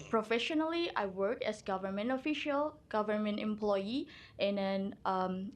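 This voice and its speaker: A woman with an Indonesian accent politely introducing herself as a government employee at a gathering. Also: mid-range voice. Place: legislative chamber, live stream.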